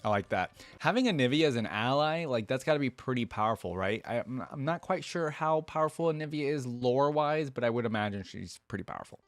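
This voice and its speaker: A man's voice: medium pitch, expressive, quick pace, few pauses, no echo, soft background music. A man hosting a reaction video, talking casually and earnestly like an enthusiastic fan.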